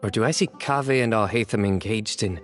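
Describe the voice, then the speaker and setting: Low, deadpan man as a stoic game hero. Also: brisk, lightly acted. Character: calm, dry-humoured stoic young man in fantasy game. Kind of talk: video game voice acting. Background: clear background music.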